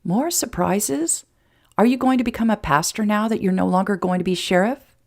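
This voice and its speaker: A woman's voice: medium pitch, moderate pace, a little mellow, voice pushed up, natural voice. A woman voicing a tender, emotional, playful woman in love in an audiobook romance, with heartfelt sincerity. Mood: teasing, loving.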